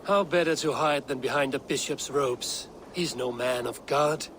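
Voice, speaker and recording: An actor playing a stern, shrewd Viking warrior, speaking in a measured, blunt, probing way. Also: male voice, mid-range voice, fairly bright tone, slight background noise, natural voice. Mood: accusing. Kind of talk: dramatic video game acting.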